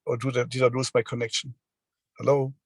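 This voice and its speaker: A man in his sixties giving a motivational business talk over a video call, halting often with fillers and restarts. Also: mid-range voice, little pitch movement, breathy edge, no room sound, studio quality. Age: 60s. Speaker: seminar speaker.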